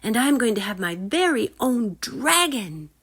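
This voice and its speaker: Woman voicing an earnest young boy, higher-pitched. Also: sing-song, measured pace, fairly bright tone, breathy edge, full character voice. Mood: awed. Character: earnest, nervous peasant boy, awed young dragon master.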